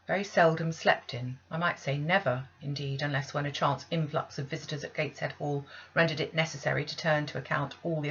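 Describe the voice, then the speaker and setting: A woman's voice: sits low, a bit bright, breathy edge, dull audio. A woman reading a classic novel aloud as scripted literary audiobook narration. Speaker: audiobook reader.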